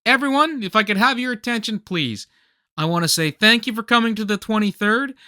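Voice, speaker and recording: An actor playing a grateful, earnest party host who talks as if making a speech in a radio play. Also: male voice, high voice, expressive, brisk, pushed and bright, no room sound, studio quality, full character voice. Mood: grateful, excited.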